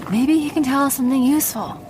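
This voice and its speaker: A woman's voice: high voice, fast, a little mellow, slight background noise, natural voice. A woman playing a pragmatic, impatient adventurer in a fantasy audio drama, matter-of-fact and decisive. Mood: firm, impatient.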